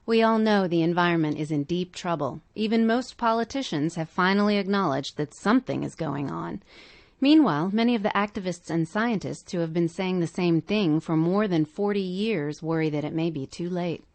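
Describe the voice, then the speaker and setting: A woman's voice: lowish voice, moderate pace, darkish tone. A woman reading scripted narration as a podcast host.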